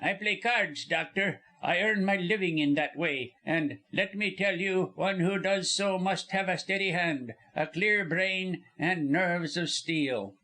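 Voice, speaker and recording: A man's voice: high-pitched, measured pace, few pauses, sharp tone, voice pushed up. A man voicing a suave young Austrian card player with a foreign accent and polished, courteous manner.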